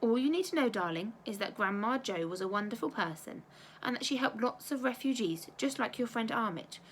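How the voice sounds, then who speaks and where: Lady reading as a gentle mum, patient and tender, kids story, background noise. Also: fairly high pitch, expressive, brisk, lightly acted. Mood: gentle, careful. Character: gentle, caring mother soothing her child.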